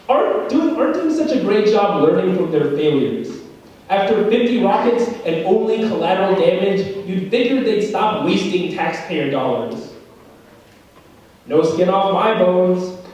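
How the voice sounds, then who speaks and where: A young man performs a slam poem in a school room. His delivery is dramatic, jumping from loud cries down to low, hushed lines, and his voice sits on the high side. He is heard from a distance in a strongly echoing room. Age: young adult. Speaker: slam poet. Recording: very echoey room, voice from far off.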